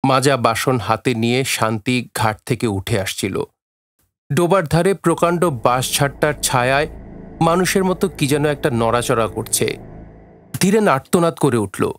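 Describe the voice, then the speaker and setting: A man narrating a literary story for an audio drama in a measured, dramatic way. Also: medium pitch, slight background noise. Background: faint music.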